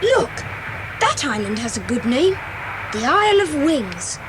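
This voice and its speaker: A woman's voice: very animated, unhurried. A woman playing a keen, curious British child in a children's audio drama. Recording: noisy cassette with vehicle rumble.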